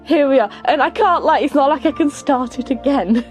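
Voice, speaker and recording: A woman's voice: sits high, fairly quick, faint music behind. A woman giving casual, rambling, self-deprecating commentary over a game playthrough. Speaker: gaming video creator.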